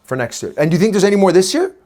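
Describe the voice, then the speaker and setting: A man co-hosts a relaxed business podcast. He teases his partner as he steers the talk from one segment to the next. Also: high-pitched, rapid-fire. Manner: playful, teasing, leads the segments. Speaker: podcast co-host. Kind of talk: casual podcast chat.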